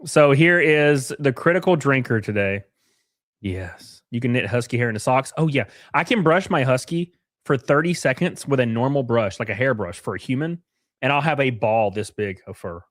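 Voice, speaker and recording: A man's voice: medium pitch, expressive, normal speed, fairly bright tone, no echo, studio quality. A man hosting a casual reaction livestream. He talks in a sarcastic, rambling way, greets chatters by name and sniffles from blocked sinuses. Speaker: livestream host.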